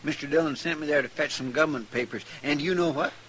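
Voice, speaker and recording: Man playing a folksy, bright-voiced western deputy. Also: on the high side, fast, lightly acted, rough recording. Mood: chatty. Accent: American. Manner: folksy, rustic grammar. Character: loyal, fretful frontier deputy, folksy and eager. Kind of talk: old-time radio western acting. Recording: vintage radio recording with faint noise.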